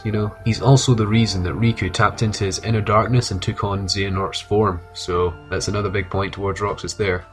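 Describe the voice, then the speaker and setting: A man with a cold giving earnest, casual commentary on his favorite game characters, often restarting his sentences as he thinks aloud. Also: fairly low pitch. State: has a cold. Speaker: video game fan commentator. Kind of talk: casual ranked-list commentary. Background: music.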